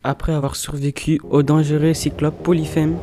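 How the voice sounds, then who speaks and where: A man's voice: a little high, level tone, moderate pace, over music. A man reading scripted storytelling narration for an audio drama.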